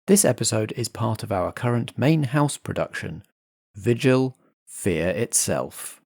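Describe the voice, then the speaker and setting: Gravelly, animated man introducing. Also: lowish voice, measured pace, dry recording, studio quality. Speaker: host and compere. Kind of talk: scripted podcast introduction.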